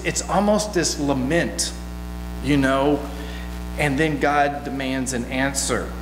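A middle-aged man giving an informal, story-driven sermon from a church pulpit in a folksy, conversational manner. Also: on the high side. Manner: folksy, conversational, animated asides. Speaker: guest preacher. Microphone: gooseneck pulpit microphone. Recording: echoey hall, long reverb, mains hum.

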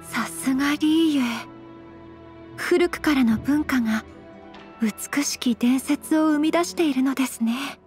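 An actress playing a polite, refined young noblewoman in an anime game, speaking gently and very formally. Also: high voice, a little slow, faint music behind. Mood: polite, admiring. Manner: very formal honorific speech, composed and courteous. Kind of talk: Japanese anime game voice acting.

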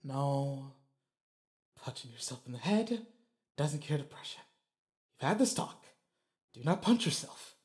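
A man's voice: mid-range voice, very animated, measured pace, long pauses, a little mellow, breathy edge, exaggerated voice. A man playing a brusque, anxious young student bully who secretly cares, in an audio roleplay drama with emotional delivery. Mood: brooding, low.

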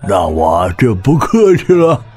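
A man's voice: fairly low pitch, huge pitch swings, measured pace, darkish tone, faint music behind, heavily acted. A man playing a humble, cheerful old street bookseller in an audio drama, with a folksy, deferential manner. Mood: genial, humble.

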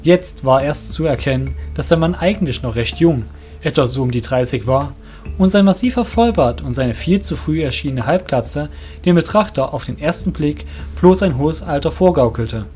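A man's voice: a little high, lively intonation, moderate pace, a little mellow, soft background music. A man narrating a scripted audio drama as its storyteller.

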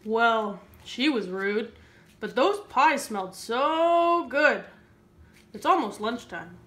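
Puppet-show wolf female performer: greedy, scheming, slow, very lively pitch, bright tone. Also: roomy sound. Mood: sly, hungry. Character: hungry, sly, nosy male wolf.

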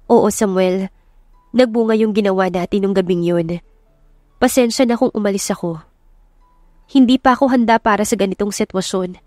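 A woman voicing a gentle, apologetic young woman with a soft, sincere manner in a romance audiobook. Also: medium pitch, moderate pace, long pauses, no echo, studio quality, soft background music, natural voice. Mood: resigned, apologetic. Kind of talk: audiobook romance drama acting.

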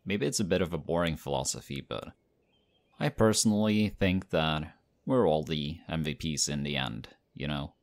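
A man voicing a friendly, modest young male team captain in an audio roleplay, talking in a relaxed, chatty way. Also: a bass voice, normal speed. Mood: excited, friendly.

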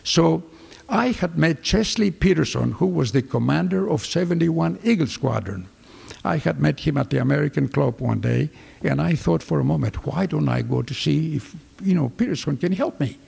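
Old greek guy telling war stories, husky gravelly voice, echoey office. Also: a little high, moderate pace. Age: older. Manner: lively storyteller, acts out dialogue with hand gestures. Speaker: veteran fighter pilot. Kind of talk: recounting war memories. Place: office with flags, indoors. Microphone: desk microphones in front.

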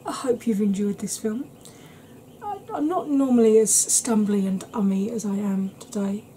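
A woman in her thirties chatting to camera at home in a soft ASMR style, rambling and often dropping into whispers. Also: mid-range voice, moderate pace, a little hiss or hum. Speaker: beauty vlogger. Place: small cluttered room at home.

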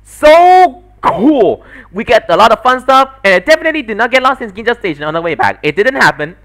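A man's voice: extremely high pitch, sing-song, fairly quick, a bit bright, voice pushed up, exaggerated voice. A man voicing a bubbly, boastful young woman in visual novel dialogue, perky and exclaiming. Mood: perky excitement.

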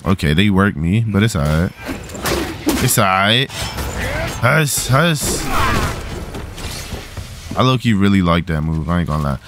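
Slangy gamer man, low voice. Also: expressive, measured pace, pauses often, very noisy. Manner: slangy, swearing, talking to the game. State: getting over a cold, slightly rough throat. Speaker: gaming video host. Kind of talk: casual gameplay commentary. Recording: game music playing behind.

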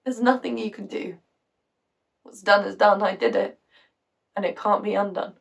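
A woman acting a theatrical monologue with a British accent as a bitter, wounded woman, sardonic, halting and emotionally volatile. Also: medium pitch, animated, distant mic, close to an everyday voice. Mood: pained. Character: bitter, wounded woman confiding a painful story.

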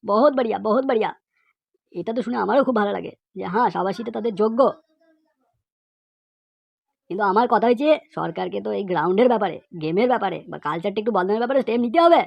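A woman's voice: medium pitch, very fast, gravelly, dry recording, dull audio. A woman voicing an outspoken cartoon pupil, lecturing emphatically with repeated phrases and rhetorical questions. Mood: indignant, preachy. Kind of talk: cartoon comedy monologue.

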